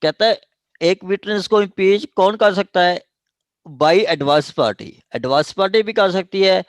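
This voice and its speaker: A man with a Pakistani accent giving an online law lecture, explaining haltingly with repetition and switching into English legal terms. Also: sits high, no room sound, clean studio sound. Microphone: online class call.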